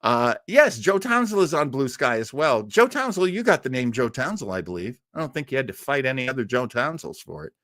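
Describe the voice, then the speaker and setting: A man's voice: medium pitch, very animated, a little fast, few pauses, a bit bright, dry recording, clean studio sound. A man in his early sixties hosting a livestream, chatting casually with viewers in a rambling way with jokey asides. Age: early 60s. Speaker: host of a livestreamed classic radio listening show.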